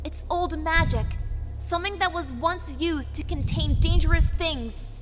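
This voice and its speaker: A woman's voice: very high voice, measured pace, background noise. A woman playing a cautious, grave young pony leader in a dramatized fan fiction. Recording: long reverb, music behind the voice.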